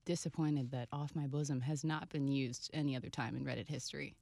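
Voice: mid-range voice, steady tone, a little fast, vocal fry, a little mellow, no echo. A podcast guest in casual comedic chat who laughs often and riffs along with the host.

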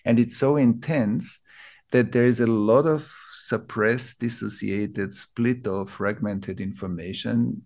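A man from Austria with an Austrian accent, a native German speaker, explaining ideas reflectively as an interview guest, building his thoughts in short, halting phrases. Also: medium pitch, steady tone, darkish tone. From Vienna, Austria. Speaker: interview guest, teacher of collective trauma healing.

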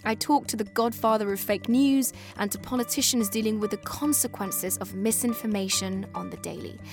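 A British woman narrating a scripted podcast as host, in an expressive, conversational way that speaks straight to listeners. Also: medium pitch, moderate pace, soft background music. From born in Afghanistan, moved to the UK.

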